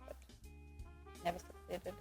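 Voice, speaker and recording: A woman's voice: a little slow, a little mellow, faint music behind. A woman in her late twenties from Fiji, giving a personal account as an interview guest. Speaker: podcast guest, postgraduate student. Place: couch in a room.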